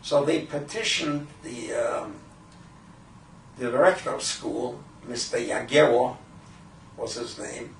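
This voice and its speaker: An elderly man from Poland in his eighties, a Yiddish speaker, recalling his early life in a halting, deliberate way for an oral history. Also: fairly high pitch, a bit bright. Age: 80s. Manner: halting, deliberate, frequent throat clearing. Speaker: Holocaust survivor giving testimony. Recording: roomy, distant microphone with faint noise.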